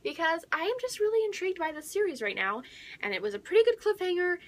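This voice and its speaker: A young woman chatting casually as a book vlogger, excitable and gushing, with dramatic emphasis and whispery asides. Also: very high voice, fast.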